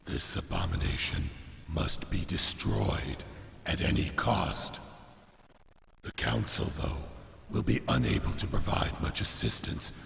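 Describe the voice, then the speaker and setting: Grave war storyteller, slow delivery, gravelly, portentous, echoing hall, fantasy game narration. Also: male voice, low-pitched, fairly bright tone, full character voice. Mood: grave, urgent. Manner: solemn, portentous, slow and measured. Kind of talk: dramatic scripted game cutscene narration. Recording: reverberant hall echo, faint noise.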